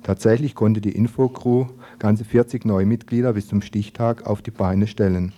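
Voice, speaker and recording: Male community radio presenter, lowish pitch, soft dark tone, scripted news commentary delivery. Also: fairly even intonation, moderate pace. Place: radio studio.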